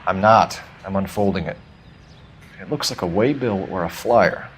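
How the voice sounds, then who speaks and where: A man's voice: deep voice, moderate pace, a little hiss or hum, close to an everyday voice. A man playing an earnest 1940s police detective in a video game, questioning in a clipped, formal way. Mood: curious, matter-of-fact.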